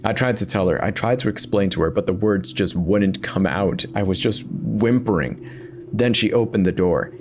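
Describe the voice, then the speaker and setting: A man's voice: on the low side, moderate pace, background noise. A man giving an intense, theatrical first-person reading of a horror story as its narrator.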